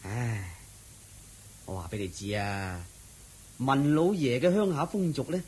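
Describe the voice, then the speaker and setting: An actor playing a harried, sympathetic neighbour in a Cantonese radio drama, confiding with sighs in a husky Hong Kong Cantonese voice. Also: male voice, mid-range voice, unhurried. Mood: grave, gossipy. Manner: sighing, confiding, expressive. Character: harried, gossipy, sympathetic village neighbour man.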